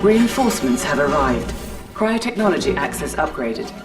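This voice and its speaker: A woman voicing an impersonal military announcer system in a video game, measured, cool and official. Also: low-pitched, sing-song. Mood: detached. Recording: slightly distant, music behind.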